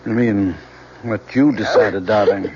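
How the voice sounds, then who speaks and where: An actor playing a middle-aged father in an old-time radio drama, measured, solemn and gently probing. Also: fairly low pitch. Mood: probing, grave. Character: grave, principled father gently questioning his daughter. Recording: muffled vintage radio recording, faint hiss.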